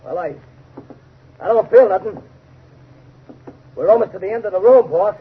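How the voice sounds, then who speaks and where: A man's voice: high voice, normal speed, natural voice. An actor playing a dim, eager, brutal henchman with slangy, uneducated speech in a 1930s radio mystery. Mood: excited, straining. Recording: noisy, dull 1930s radio recording.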